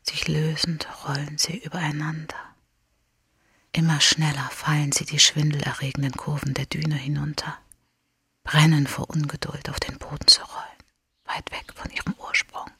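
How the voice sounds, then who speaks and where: An actor performing the solemn narrator of a poetic radio play in a breathy whisper. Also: mid-range voice, level tone, bright, forceful tone, no echo, studio quality, full character voice. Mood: hushed, haunting. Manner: breathy whispering, slow incantation.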